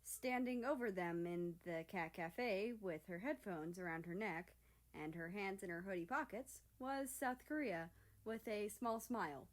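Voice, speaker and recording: A woman reading a story aloud as narrator in an expressive, scripted style. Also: medium pitch, a little slow. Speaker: fan fiction reader.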